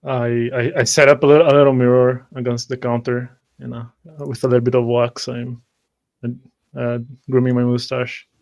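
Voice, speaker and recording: Male tabletop player, even monotone, mid pitch, halting descriptive narration. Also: vocal fry. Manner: halting, repeats words.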